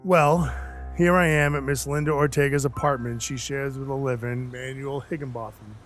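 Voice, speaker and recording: Wry, deadpan dwarf enforcer, acted by a man over music. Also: medium pitch, moderate pace, close to an everyday voice. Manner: wry, deadpan, mock-polite storytelling. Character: gruff, sardonic male dwarf enforcer for Santa. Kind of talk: first-person audio drama narration with dialogue. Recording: music behind the voice.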